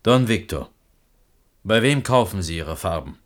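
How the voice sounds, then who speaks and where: A man's voice: low-pitched, animated, measured pace. An actor playing a humble, anxious potter in a German radio play, earnest and stubbornly insistent in his pleading. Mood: downcast, stubborn.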